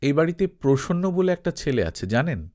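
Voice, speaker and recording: A nostalgic middle-aged gentleman from a storybook, voiced by a male reader. He asks politely and a little awkwardly, with plenty of movement in his pitch. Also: sing-song, moderate pace. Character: nostalgic, slightly awkward middle-aged man searching streets.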